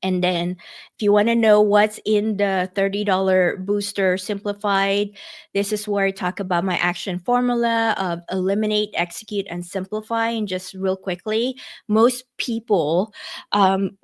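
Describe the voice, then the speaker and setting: A middle-aged woman from the Philippines hosting an online workshop, chatty, with many fillers and restarts, speaking into a computer mic. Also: mid-range voice. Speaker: workshop host. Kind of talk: casual online workshop presentation.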